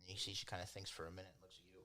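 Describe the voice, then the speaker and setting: Bright, forceful male dungeon master. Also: low-pitched, little pitch movement, rapid-fire. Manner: casual, colloquial. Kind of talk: improvised tabletop game narration.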